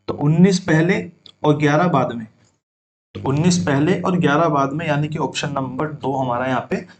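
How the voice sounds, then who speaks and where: Young male math tutor, step-by-step explainer, slightly higher pitch. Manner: talks students through each step, addressing them as brother. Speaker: math teacher. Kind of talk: exam-prep math lesson.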